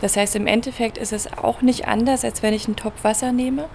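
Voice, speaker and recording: A woman's voice: fairly high pitch, steady tone, fairly quick, vocal fry, darkish tone, slight background noise. A woman from Berlin, a planetary scientist, explaining her research in a conversational interview with patient everyday examples and some fillers. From Berlin, Germany. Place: event venue.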